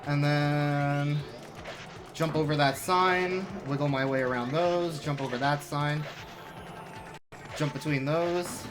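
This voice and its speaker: A man's voice: a little high, steady tone, slow pace, long pauses. A young man casually commentating his speedrun of a game, explaining tricks with wry, self-mocking asides. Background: game music.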